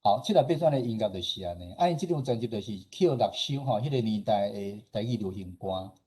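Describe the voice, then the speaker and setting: A man's voice: lowish voice, expressive, fairly quick, mellow, slightly rough. A man with a Taiwanese accent presenting in a conversational, explanatory way, often ending phrases with small agreement-seeking particles. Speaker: radio station director and host presenting a talk. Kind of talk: informal slide presentation.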